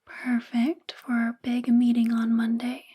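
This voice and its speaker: Slow, whispering possessive female boss, acted by a woman. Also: on the high side, monotone. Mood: attentive, soothing. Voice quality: breathy, whispery soft voice. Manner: slow, intimate, coaxing, teasing. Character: possessive, obsessed, flirtatious female boss doting on employee. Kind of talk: soft-spoken ASMR roleplay.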